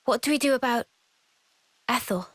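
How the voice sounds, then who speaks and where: Woman acting young female soldier, game drama, high-pitched, unhurried, soft, thoughtful, dry sound. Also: no echo, close to an everyday voice. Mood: reflective.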